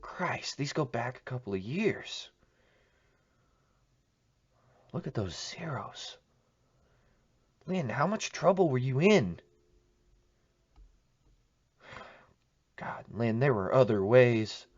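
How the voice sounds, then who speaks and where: A man's voice: medium pitch, sing-song, measured pace, breathy edge, dull audio. A man acting a weary, troubled amateur investigator in an audio drama, muttering to himself as he broods. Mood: shocked, grieving.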